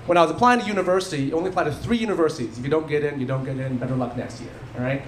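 A man's voice: on the high side, rapid-fire. A man in his thirties from Canada doing a stand-up set into a handheld mic on a theatre stage, punchy with dry asides. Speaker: stand-up comedian. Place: theatre stage before a live audience. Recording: live club recording with audience noise. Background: audience laughter.

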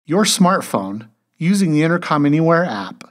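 A man reading scripted instructional narration as a tutorial narrator, in a measured, step-by-step way. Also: on the high side, very animated.

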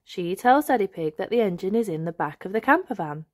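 Woman reading a kids book aloud, really animated, expressive, no room echo. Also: mid-range voice, a little fast, clean studio sound. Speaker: host and storybook reader. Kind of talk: read-aloud children's story narration.

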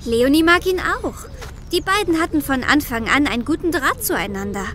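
High-voiced, earnest young girl. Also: big pitch swings, normal speed, a bit bright, a little hiss or hum. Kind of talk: children's audio drama acting.

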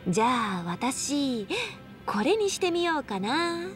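A woman acting a friendly, modest young nightclub hostess in a Japanese game dub, with expressive, casual feminine speech. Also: high-pitched, slow pace, soft background music, exaggerated voice.

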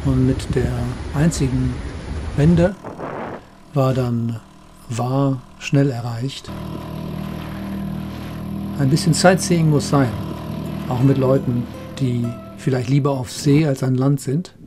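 A middle-aged man narrates as a skipper telling of his trip, with a mid-pitched voice. His delivery moves between written travelogue and off-the-cuff recollection. Music plays clearly behind him. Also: slow, long pauses. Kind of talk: travel narration, partly scripted, partly spontaneous recollection.

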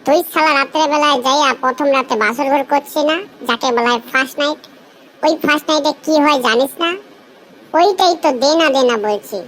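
A high, coarse-talking young husband from a comedy cartoon, acted out by a woman. He is lecherous, hapless and full of street slang. There is noisy ambience behind the voice. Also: a little fast, a bit bright. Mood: exasperated. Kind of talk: comic cartoon dialogue.

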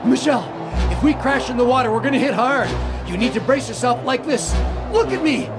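A man's voice: extremely high pitch, expressive, brisk, over music. An actor playing a devout, protective middle-aged father in a family audio drama. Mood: urgent, tender. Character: devout, protective father facing a plane crash.

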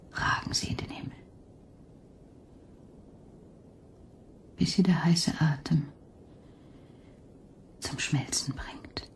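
A woman playing a weary, sick woman in a radio drama, reciting solemnly in drawn-out whispers. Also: low voice, a little mellow. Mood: bitter, solemn. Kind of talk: slow poetic German radio drama.

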